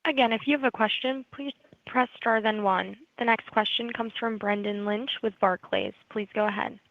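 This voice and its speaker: A woman's voice: fairly high pitch, normal speed, no room sound. A female conference operator reading scripted announcements to callers. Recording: slightly muffled phone line.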